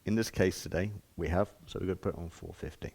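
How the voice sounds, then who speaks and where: A man's voice: low-pitched, steady tone, fast, creaky, darkish tone, slightly rough. A man giving an informal hands-on demonstration as a shop presenter, explaining step by step in plain, casual words.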